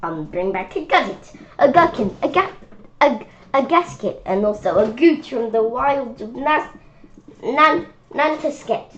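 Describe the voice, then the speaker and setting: A woman's voice: a little high, expressive, a little slow, dull audio, a little hiss or hum. A woman reading a rhyming picture book aloud informally, often stumbling over words and repeating phrases. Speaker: children's book read-aloud reader.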